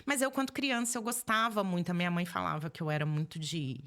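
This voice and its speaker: A woman in her forties speaks with a Brazilian accent from Minas Gerais. Her voice sits slightly low for a woman. She talks casually and chattily, like a guest telling her own story in an interview. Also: quick pace, a bit bright. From Belo Horizonte, Minas Gerais, Brazil. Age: 40s. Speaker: podcast guest, holistic therapist.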